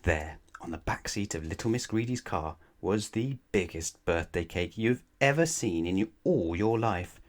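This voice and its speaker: Low-voiced man reading a children's story. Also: lively intonation. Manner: playful, emphatic, drawn-out key words, rhetorical questions to the listener. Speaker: storybook reader. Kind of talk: animated children's bedtime story read-aloud.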